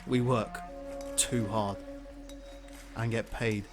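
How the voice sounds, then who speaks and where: A man's voice: on the low side, fairly even intonation, slow, over music. A man playing a weary, resentful miner and father. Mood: somber. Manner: gruff and resentful.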